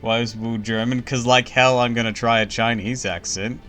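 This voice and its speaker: A man streaming a game, chatting casually, blunt and quick to laugh, with faint game music behind. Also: mid-range voice, flat delivery, a bit bright. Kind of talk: casual gameplay commentary. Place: home streaming setup.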